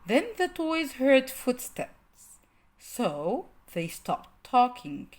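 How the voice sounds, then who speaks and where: A woman reads a children's story aloud with hugely varied intonation. Her voice is high and bright, and she takes her time, talking straight to young listeners. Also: very slow. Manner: expressive, addresses young listeners directly. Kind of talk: animated read-aloud for children.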